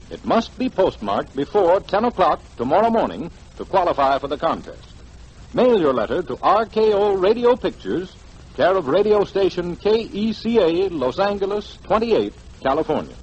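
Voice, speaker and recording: Male American announcer, 1940s radio promo, formal, high-pitched and lively, muffled vintage recording. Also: husky. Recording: dull 1940s radio broadcast.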